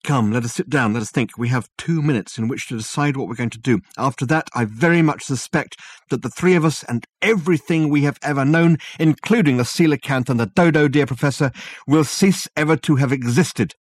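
A man voicing an eccentric, excitable male detective in an audiobook, speaking in a theatrical, commanding way. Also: medium pitch, a little fast, breathy edge, no room sound, voice pushed up, lightly acted. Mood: tense calm. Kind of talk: audiobook character voice.

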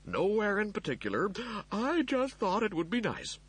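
A man's voice: high-pitched, huge pitch swings, moderate pace, a bit bright, husky, pitched above the performer's own voice, full character voice. A man voicing a male android servant in an audiobook, overly soothing and fussy while hiding panic. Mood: falsely soothing. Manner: overly soothing, euphemistic reassurance. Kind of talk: audiobook character voice.

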